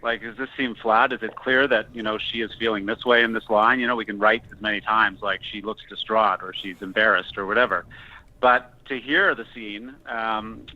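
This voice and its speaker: A man's voice: fairly low pitch, fairly even intonation, moderate pace, highs cut off. A man giving reflective, somewhat hesitant answers as a screenwriter in a casual interview.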